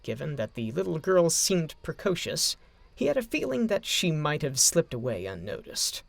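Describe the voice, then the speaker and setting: Lively male storyteller, creaky and dry. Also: fairly high pitch, normal speed, dry recording. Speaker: narrator. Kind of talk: scripted story narration.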